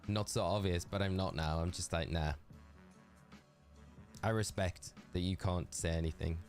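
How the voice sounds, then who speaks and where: A man's voice: low voice, steady tone, moderate pace, a little mellow, faint music behind. A man with a Geordie accent chatting casually and rambling on a live game stream, talking to his chat.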